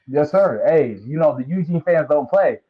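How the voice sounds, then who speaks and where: Brief: young man from American Samoa, relaxed and easygoing, on a tinny phone-like remote line. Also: on the high side, normal speed. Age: young adult. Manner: laid-back, many "you know" fillers. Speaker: football recruit guest. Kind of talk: casual interview.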